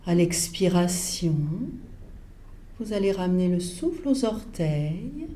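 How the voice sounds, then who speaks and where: Slow, mellow woman guiding relaxation. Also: mid-range voice. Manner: calm, measured, pausing between short instructions. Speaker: yoga teacher. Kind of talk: guided relaxation instruction.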